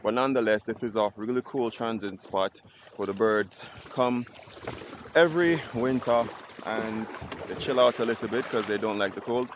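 A man's voice: lowish voice, normal speed, a little hiss or hum. A young Jamaican man with a Jamaican accent, chatting casually to a handheld camera outdoors by a lake. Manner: relaxed, chatty, thinking aloud with fillers. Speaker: travel vlogger. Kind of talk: casual travel vlog to camera. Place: outdoors on a lake island and boat. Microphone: action camera at arm's length.

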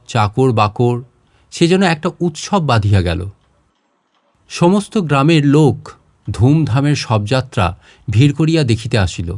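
A man narrating literary fiction in a measured, expressive way, pausing between phrases. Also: mid-range voice, no echo, studio quality. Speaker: storyteller reading literary fiction. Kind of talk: dramatic literary narration in formal prose.

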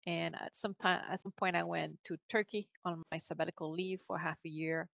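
A woman's voice: on the low side, steady tone, fairly quick, vocal fry, fairly bright tone, no echo, lo-fi sound. A middle-aged woman with a French Canadian accent, chatting as a guest on a video call and laughing often between filler words. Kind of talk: casual interview conversation. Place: home office with bookshelves. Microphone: podcast mic on video call.

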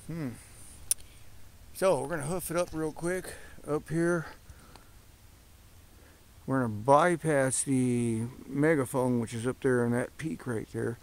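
A middle-aged man talking casually to his camera outdoors on a hike, in an easygoing, halting way. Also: medium pitch, fairly even intonation. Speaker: hiker filming a vlog. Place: open rocky desert, outdoors. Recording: outdoor camera mic with faint noise.

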